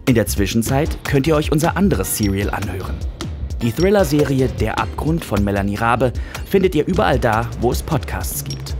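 Guy announcer reading podcast outro, medium pitch, music underneath. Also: very animated, normal speed. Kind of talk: scripted outro narration.

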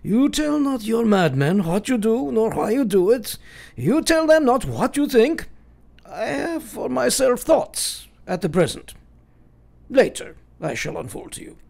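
A man's voice: very high voice, big pitch swings, unhurried, creaky, darkish tone, exaggerated voice. A man playing an eccentric, commanding professor in a radio play, speaking in an earnest, formal and emphatic way. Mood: earnest counsel. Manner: emphatic, formal, oddly phrased, counselling.

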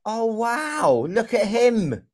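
Young guy on a video call w/ earphone mic, talks real slow to a kid, voice bounces all over. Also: sits high. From England. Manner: slow, clear and lively, often exclaims and laughs. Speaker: online English teacher. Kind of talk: slow, encouraging chat with a young child. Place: small room indoors. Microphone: video call with wired earphone mic.

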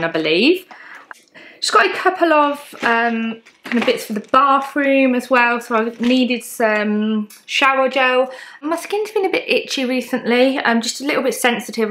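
A woman's voice: mid-range voice, fairly bright tone. Upbeat woman in her thirties, casual chatty haul vlogger talking straight to camera from her living room. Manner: chatty, upbeat, lots of ums, shows each item to camera. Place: bright home living room, indoors.

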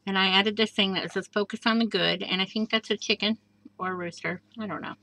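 A woman casually showing a handmade journal page by page, chatty and gushing, with soft asides and plenty of fillers. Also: mid-range voice, steady tone, a little fast, poor audio. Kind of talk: casual show-and-tell craft walkthrough. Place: indoors at a craft table. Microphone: overhead camera above a craft table.

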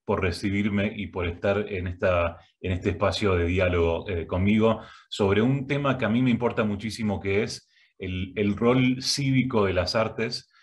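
Hesitant Argentine man, low voice. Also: moderate pace. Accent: Argentine Spanish. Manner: hesitant, many filler sounds and restarts. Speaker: guest speaker, arts education director. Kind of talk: prerecorded video talk. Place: home office. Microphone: webcam, head and shoulders framing.

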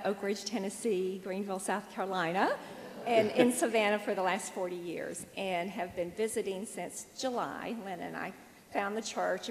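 A woman's voice: mid-range voice, a little hiss or hum. A woman in her sixties introducing herself as a new church member, cheerful and grateful, on a handheld mic. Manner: cheerful, grateful, listing places quickly. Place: church sanctuary, standing in front. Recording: echoey church with long reverb.

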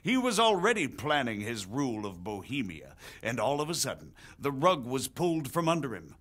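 A man's voice: mid-range voice, very animated, normal speed, fairly bright tone. A grave, persuasive nobleman. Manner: earnest, explanatory, formal. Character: grave middle-aged male nobleman, measured and persuasive. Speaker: visiting margrave explaining politics. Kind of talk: video game cutscene dialogue.

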